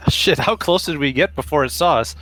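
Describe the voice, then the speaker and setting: A man's voice: on the high side, fairly quick, fairly bright tone, poor audio. A man chatting casually as a player in a tabletop roleplaying session. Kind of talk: casual game-table chat.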